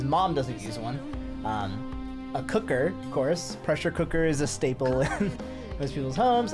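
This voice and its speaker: A low-voiced woman whose first language is Telugu hosts a casual game stream. She chats loosely, explaining things to her viewers with fillers and easy laughs. Game music plays steadily behind her.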